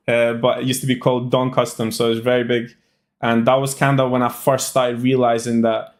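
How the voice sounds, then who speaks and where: Young man, fairly even-toned interview guest. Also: medium pitch. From Albania, moved to the UK at six. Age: young adult. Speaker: interview guest, student trader. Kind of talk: casual interview answers, personal storytelling.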